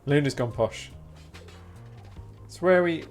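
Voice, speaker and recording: A man's voice: fairly high pitch, unhurried. A man streaming a game, chatting informally with rambling explanations. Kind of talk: casual live-stream chat while gaming. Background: game music.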